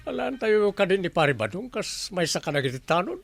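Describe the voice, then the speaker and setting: A harried village captain in a radio comedy drama, grumbling and exclaiming in an Ilocano accent. The sound is dry, with no room around the voice. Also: male voice, high-pitched, very animated, a little fast, vocal fry, no echo, faint music behind, heavily acted. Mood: troubled, grumbling.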